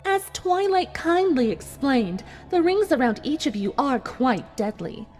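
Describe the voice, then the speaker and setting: A woman voicing a cold, bitter, vengeful unicorn villain in a dramatic fan fiction audio reading, speaking in a theatrical, deliberate way. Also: high voice, sing-song, darkish tone, soft background music. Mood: menacing, controlled.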